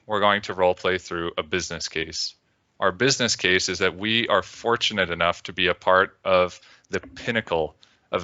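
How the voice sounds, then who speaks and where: A man from Canada with a Canadian accent, giving a measured technical presentation on a video call with occasional "uh"s. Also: sits low, pushed and bright, dry recording, dull audio. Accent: Canadian English. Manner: measured, deliberate, with filler "uh"s. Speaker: conference speaker.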